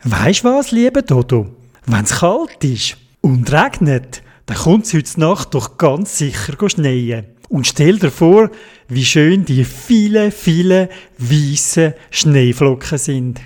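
A man's voice: fairly high pitch, very animated, slow, darkish tone, exaggerated voice. A man with a Swiss German accent playing a kind, sensible little boy who speaks encouragingly in a children's story. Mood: comforting, eager.

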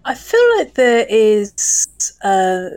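A woman's voice: medium pitch, sing-song, extremely slow, a little mellow, no room sound. A woman speaking conversationally as a sound designer on a discussion panel.

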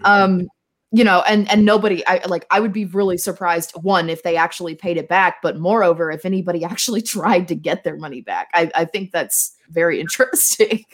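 A woman's voice: fairly low pitch, brisk. A woman chatting casually and emphatically as a guest on a talk show, with a cynical way of talking. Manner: self-described cynic, emphatic. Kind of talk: casual chat.

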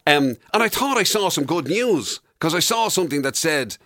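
A man's voice: fairly high pitch, sing-song, normal speed, sharp tone, no room sound. An Irish man hosting casual, comic radio banter in a studio, with a teasing, conversational manner. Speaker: radio show host.

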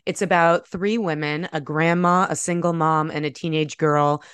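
American woman writer, smooth clean voice, measured and articulate, dry sound no room. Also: sits low, steady tone, a bit bright, no echo. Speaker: debut author, guest. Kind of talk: conversational interview talk and prose reading from own novel.

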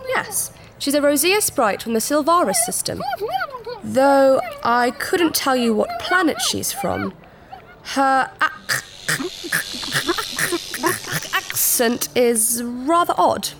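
A high-voiced, secretive alien princess. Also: female voice, huge pitch swings, slow, noisy background, heavily acted. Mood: polite, matter-of-fact. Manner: formal, precise, earnest, easily affronted. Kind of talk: sci-fi audio drama acting.

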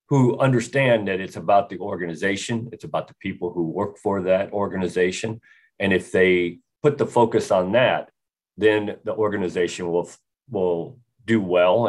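An American man in his sixties, a retired army officer, speaking firmly and earnestly as a podcast guest. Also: deep voice. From United States. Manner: firm, earnest, repeats for emphasis. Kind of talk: podcast interview.

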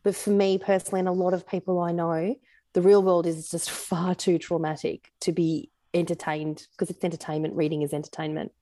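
Low-pitched Australian woman. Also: level tone, fairly quick, mellow, dry recording. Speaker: guest author. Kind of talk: casual conversation and reading own fiction aloud.

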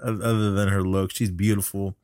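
A man's voice: deep voice, level tone, fairly quick, darkish tone, no room sound, clean studio sound. A middle-aged man co-hosting a podcast in casual chat, rambling and good-humoured, given to chuckling. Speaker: podcast co-host. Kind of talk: casual chat reviewing wrestling.

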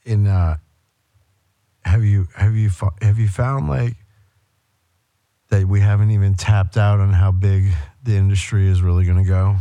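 Laid-back host with a dark, dry voice. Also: male voice, on the low side, fairly even intonation, measured pace, long pauses, no echo. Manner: laid-back, slangy, rambling questions. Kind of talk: casual interview.

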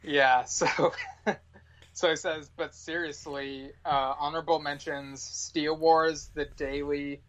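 A man's voice: a little high, level tone, slow, a bit bright. A man talking casually and informally as a podcast guest, in slightly dull, lo-fi sound. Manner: informal, peppers talk with "like".

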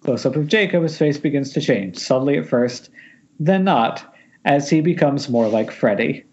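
A man's voice: mid-range voice, expressive, moderate pace, a little mellow, husky. A man reading stage directions at an informal script table read, with wry delivery, over a lo-fi internet call.

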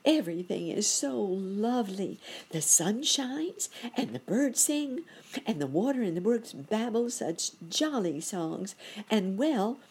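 A woman's voice: mid-range voice, darkish tone, slightly rough, voice pushed up, exaggerated voice. A woman voicing a jolly, good-natured little male cricket for a storybook, bubbly and prone to laughter.

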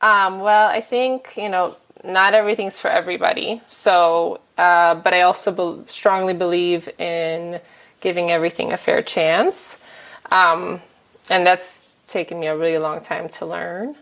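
A woman chatting frankly and casually as a guest, pausing often with fillers and restarts. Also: on the low side, fairly even intonation, fairly bright tone. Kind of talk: casual chat.

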